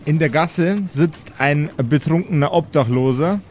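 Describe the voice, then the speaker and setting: A man's voice: on the high side, a little slow, a little mellow, slight background noise. A man narrating an improvised fantasy audio play as game master, in a storytelling style.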